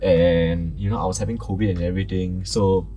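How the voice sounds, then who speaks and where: A young man with a Singaporean English accent and a fairly low voice tells stories at length as a talk show guest. His delivery is relaxed and anecdotal, with faint music in the background. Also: brisk. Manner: storytelling, recounting customer anecdotes at length. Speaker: guest, audio brand founder. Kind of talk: casual interview chat.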